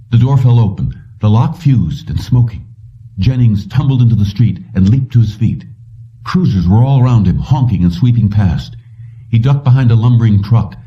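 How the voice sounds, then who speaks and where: Deep-voiced man narrating, distant and muffled. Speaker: audiobook narrator. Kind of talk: scripted narration of a science fiction story. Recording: distant, dull low-fidelity audio.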